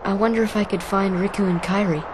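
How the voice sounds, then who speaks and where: A man's voice: sits high, steady tone, fast talker, heavy background noise, lightly acted. A male actor playing an earnest, spirited teenage boy hero in a video game. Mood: hesitant.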